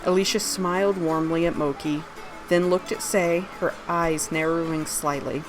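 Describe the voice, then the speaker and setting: A woman's voice: on the low side, steady tone, moderate pace, music in the background, poor audio. A woman reading her own fantasy story aloud, narrating scripted prose and its dialogue.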